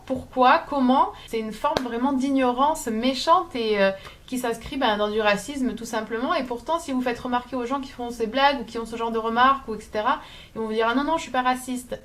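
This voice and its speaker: A woman's voice: on the high side, a bit bright. A woman with a slight southern French accent giving an informal, opinionated talk to viewers, emphatic and insistent, sometimes searching for her words. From southern France. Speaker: video blogger.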